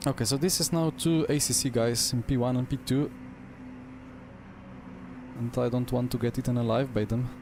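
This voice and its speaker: A man's voice: medium pitch, steady tone, moderate pace, pauses often. A man with a Slovenian accent streaming a sim race on a headset mic, talking to his viewers in short, broken-up bursts. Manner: talks to himself in short fragmented bursts. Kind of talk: live chat while sim racing. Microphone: wireless headset. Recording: game car noise underneath.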